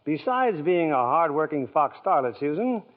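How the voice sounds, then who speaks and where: A man hosting and narrating a 1940s radio drama with a smooth, showman-like delivery. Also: fairly high pitch, very animated, normal speed. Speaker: radio producer and host. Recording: tinny 1940s radio broadcast.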